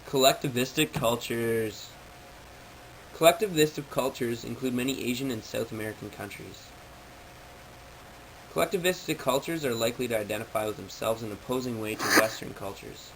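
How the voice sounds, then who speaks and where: A man's voice: mid-range voice, fairly quick, fairly bright tone, a little hiss or hum, rough recording. A man reading out a prepared informational presentation in a formal, textbook-like way.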